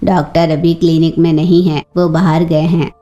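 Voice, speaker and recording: A woman voicing a calm, professional female crow nurse in a cartoon story, measured and reassuring. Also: deep voice, lo-fi sound, faint music behind, voice pushed down, close to an everyday voice. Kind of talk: Hindi children's cartoon story acting.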